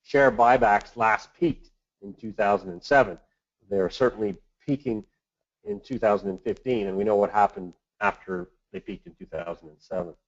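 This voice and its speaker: A man giving a prepared financial market update as an analyst, in a measured, formal presenting manner. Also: on the low side. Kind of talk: prepared market commentary on a conference call. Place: conference call webinar. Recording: dull, lo-fi conference call audio.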